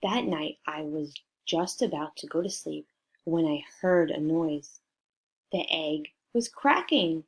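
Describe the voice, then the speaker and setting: A woman reading a picture book aloud to children in an expressive way, prompting her listeners with questions. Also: low voice, slow, husky. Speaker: storybook reader for children.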